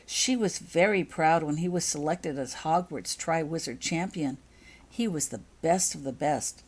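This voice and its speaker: A woman's voice: low voice, unhurried, darkish tone, husky. A woman author reading her own story aloud as scripted audiobook narration.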